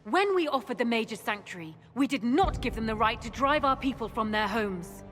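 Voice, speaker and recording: A woman's voice: on the high side, expressive, fairly quick, fairly bright tone. An actress playing a cold, imperious queen in a fantasy video game. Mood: cold reproach. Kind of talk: video game cutscene acting. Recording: echoing hall, music behind.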